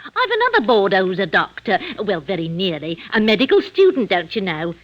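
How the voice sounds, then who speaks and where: A woman's voice: medium pitch, wildly swooping pitch, brisk, dull audio. An actress playing a genteel, chatty middle-aged London landlady in an old-time radio drama, fawning and prim. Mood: eager, prim. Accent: London English.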